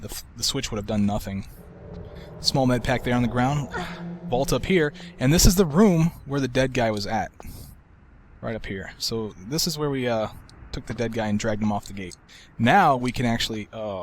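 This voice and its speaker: A man giving casual, relaxed commentary over a video game walkthrough, with a soft, soothing way of talking. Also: mid-range voice, a little hiss or hum. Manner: relaxed, soft-spoken, self-described soothing voice.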